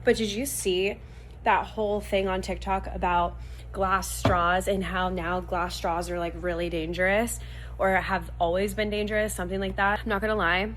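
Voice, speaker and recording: A woman's voice: medium pitch, flat delivery, normal speed, a bit bright, a little hiss or hum. A young woman talking casually to camera at home, chatty and upbeat, a bit nasal from a cold. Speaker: vlogger. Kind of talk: casual vlog talk to camera.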